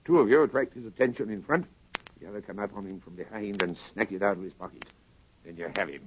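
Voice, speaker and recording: An actor playing a cold crime mastermind in 1930s radio style, measured, authoritative and menacingly polite. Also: male voice, fairly low pitch, natural voice. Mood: commanding. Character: cold, commanding male crime mastermind, a professor. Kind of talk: 1930s radio drama acting. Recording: noisy, muffled 1930s radio broadcast.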